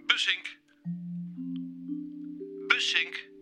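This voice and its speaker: A man's voice: sits high, drawn-out words, music in the background, rough recording. A man playing an awkward, rambling bank official with false joviality in a comedic radio play. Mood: awkward, evasive. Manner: hesitant, digressive, officious.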